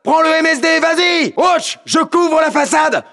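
An actor playing a gritty, commanding special-forces lieutenant in a video game, giving terse, clipped orders. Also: male voice, very high-pitched, a little slow, creaky, fairly bright tone, full character voice. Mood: urgent, shouting. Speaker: squad leader. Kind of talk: video game combat voicelines, French dub.

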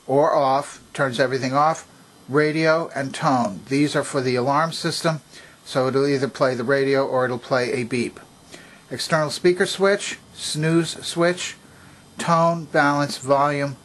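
A man at a workbench giving a calm, methodical hands-on demonstration of an old stereo system, speaking from behind the camera. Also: on the high side. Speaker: vintage electronics restorer.

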